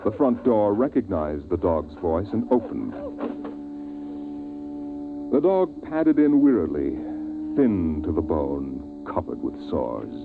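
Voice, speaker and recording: An actor narrating a 1950s science-fiction radio drama as a solemn storyteller, with measured phrasing and weighty pauses. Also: male voice, low-pitched, sing-song, vocal fry, full character voice. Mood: solemn, dramatic. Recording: tinny vintage radio recording, music behind.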